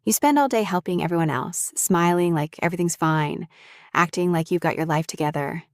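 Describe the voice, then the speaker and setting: A woman voicing a sharp-tongued young woman, a bully who scolds and worries over someone who has fainted. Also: on the low side, fairly quick, no room sound, clean studio sound, natural voice. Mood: worried scolding. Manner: scolding and blunt, then anxious and tender. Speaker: voice actor in an audio roleplay. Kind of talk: scripted audio roleplay.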